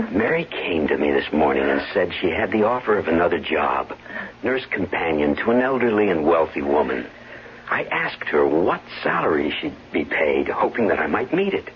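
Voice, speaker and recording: A man playing a smooth-talking husband with a short temper in a 1970s radio drama, soothing and evasive with his endearments. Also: sits low, big pitch swings, normal speed. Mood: exasperated. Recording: dull vintage radio broadcast, faint noise.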